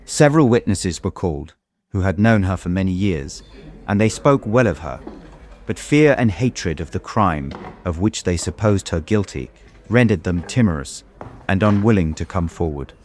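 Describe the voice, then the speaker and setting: Low-voiced, grave gentleman narrator. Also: expressive, moderate pace. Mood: somber. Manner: literary, formal, grave. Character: guilt-stricken gentleman recounting his torment. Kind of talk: scripted audiobook narration.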